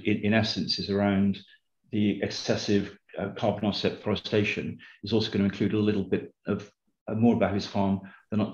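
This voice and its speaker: A man's voice: lowish voice, a little mellow. An older man introducing a speaker as moderator, hesitant with frequent ums and restarts, over a video call. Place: home room. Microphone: video call webcam. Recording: dull, roomy video call audio.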